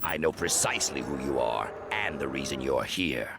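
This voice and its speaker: An actor playing an imperious, menacing vault guardian in a video game, with a gravelly voice. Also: male voice, a bass voice, lively intonation, normal speed, over music. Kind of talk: video game cutscene acting.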